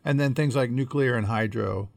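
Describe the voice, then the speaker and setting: A man co-hosting a conversational podcast, explaining things in a measured way as he thinks aloud. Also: mid-range voice, gravelly, no echo. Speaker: podcast co-host.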